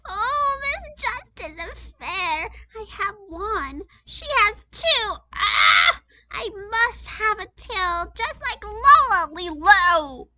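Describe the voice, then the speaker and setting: Shrill, whiny little girl bird. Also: very animated, drawn-out words, bright, forceful tone, dry recording, dull audio, exaggerated voice. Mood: wailing. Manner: squealing, wailing, shrill. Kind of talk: storybook read-aloud.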